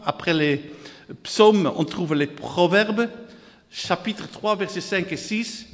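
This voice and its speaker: A man with a Dutch accent preaches in slow, deliberate French, his somewhat high voice ringing with a long reverb through the hall. He reads scripture aloud, repeats phrases in rhythmic lists and calls out to the congregation. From Netherlands. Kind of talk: sermon.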